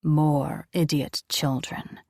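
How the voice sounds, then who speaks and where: A woman puts on an ancient male angel with a low, gravelly voice and a dry, close sound. He speaks slowly and gravely, coldly menacing and in command. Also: level tone, darkish tone, no echo, exaggerated voice.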